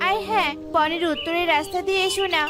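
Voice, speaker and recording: A woman's voice: very high voice, steady tone, fast talker, loud music behind the voice. A woman playing an anxious young mother bird in a children's cartoon, pleading and worried. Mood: pleading, eager.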